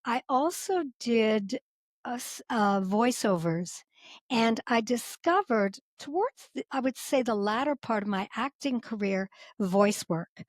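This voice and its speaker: A woman's voice: on the high side, measured pace, dry recording. A woman from Canada, a former actress now interpreter, telling stories in a casual podcast interview with lots of fillers. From Canada, Edmonton. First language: English. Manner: animated, chatty storyteller with frequent fillers.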